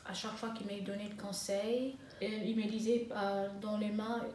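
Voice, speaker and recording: Young woman, twenties, interviewee, halting delivery, flat pitch, roomy echo. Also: distant mic, slight background noise. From Congo (DRC), raised in South Africa. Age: 20s. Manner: hesitant, many fillers and restarts. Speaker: interviewee, master's student. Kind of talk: interview answers. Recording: echoey room with faint ambience.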